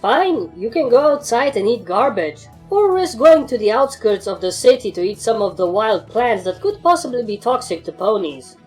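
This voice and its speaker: A woman's voice: on the high side, sing-song, normal speed, few pauses, darkish tone, soft background music. A woman voicing an assertive, sarcastic female pony adventurer in a fan fiction story, with a dry, bossy manner.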